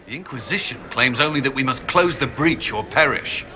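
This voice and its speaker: An actor playing a gruff, stern commander and former knight in a fantasy video game, with an authoritative, sardonic manner. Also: male voice, medium pitch, animated, fairly quick, pushed and bright. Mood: dry. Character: stern male military commander, former Templar, gruff and wry. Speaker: game character.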